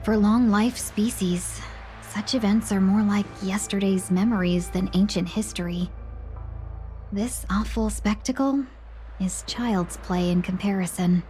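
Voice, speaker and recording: An actress playing a calm, solemn young woman guide in a video game, speaking politely and formally. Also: medium pitch, measured pace, lightly acted. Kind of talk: video game dialogue acting. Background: soft game music.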